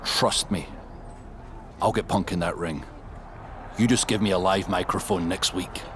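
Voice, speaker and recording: An actor with a Scottish accent playing a brooding, scornful, menacing pro wrestler in a video game cutscene. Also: male voice, sits low, fairly even intonation, unhurried, noisy background. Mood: grimly confident. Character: brooding, vengeful male pro wrestler in his prime.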